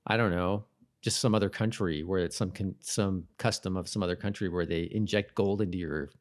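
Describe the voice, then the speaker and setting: Low-voiced man in easygoing podcast chat. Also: fairly even intonation, a little fast. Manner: agreeable, hesitant, thinking aloud. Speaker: podcast co-host. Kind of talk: casual TV episode recap chat.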